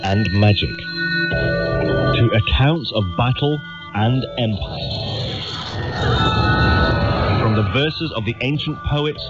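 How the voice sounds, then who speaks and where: A man's voice: mid-range voice, slow, long pauses. A man announcing a scripted radio promo. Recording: muffled radio capture, loud music.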